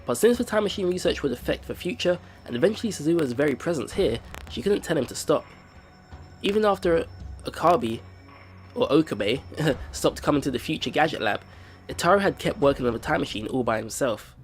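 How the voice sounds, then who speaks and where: A man hosting a let's play, reading visual novel narration aloud in an expressive storytelling way. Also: a little high, a little fast.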